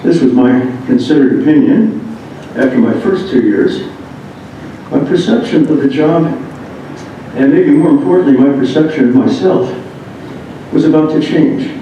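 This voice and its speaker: A man's voice: lively intonation, normal speed, dark tone. Man reading aloud in a very echoey room. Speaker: reader of a memoir. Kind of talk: reading a written first-person narrative aloud. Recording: muffled, very echoey room, distant mic, rumbling noise.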